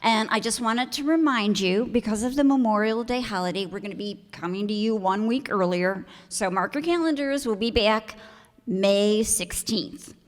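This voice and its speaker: A woman's voice: mid-range voice, normal speed. A woman, a theater director, making informal announcements to an audience in a town hall. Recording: reverberant hall.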